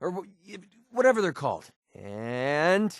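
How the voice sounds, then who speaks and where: A wry, sarcastic young American mercenary. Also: male voice, fairly high pitch, very animated, a little slow, no room sound, clean studio sound. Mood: friendly, upbeat. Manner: sarcastic, casual, colloquial. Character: wry, world-weary young male mercenary with a soft streak. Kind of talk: video game character voice lines.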